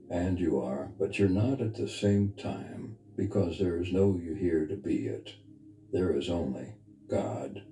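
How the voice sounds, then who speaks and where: Very dark, low-voiced man guiding meditation. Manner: soft, unhurried, intimate, earnest instruction. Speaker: meditation guide, spiritual teacher. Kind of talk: guided meditation, spiritual teaching. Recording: reverberant voice over background music. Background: music.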